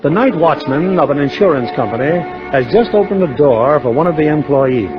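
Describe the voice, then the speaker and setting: A man's voice: on the high side, animated, brisk. An American man narrating from a script as a 1950s radio announcer. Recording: old muffled radio recording, music behind.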